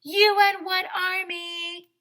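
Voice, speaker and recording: Woman as cheeky storybook schoolboy, shift+ high pitch, loud, bright, dramatic, mouthy. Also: little pitch movement, slow pace, full character voice. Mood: defiant. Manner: loud, defiant exclamations. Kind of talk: storybook character voice.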